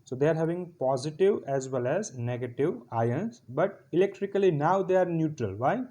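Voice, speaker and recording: Casting note: male lecturer, a bit higher-pitched, patient and repetitive, keeps checking understanding. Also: expressive, moderate pace, dark tone, highs cut off. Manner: asks "clear?" over and over, explaining step by step. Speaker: physiotherapy lecturer. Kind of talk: slide-based lecture.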